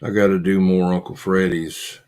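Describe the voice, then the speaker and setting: A man hosting a casual livestream, chatting with viewers in a halting, repetitive way and muttering asides to himself. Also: low-pitched, level tone, a little mellow, clear, resonant tone. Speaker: livestream host.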